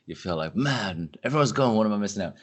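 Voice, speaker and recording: A man's voice: on the low side, expressive, fast. A man speaking as an industry analyst guest on a podcast, talking casually and animatedly with frequent restarts.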